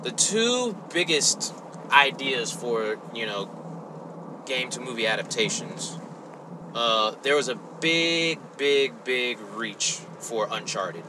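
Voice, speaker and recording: A man talks as a podcast host with clear noise behind him. His mid-range voice is conversational and halting, with an exasperated, foul-mouthed edge. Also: medium pitch, very animated. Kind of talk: casual conversational podcast chat.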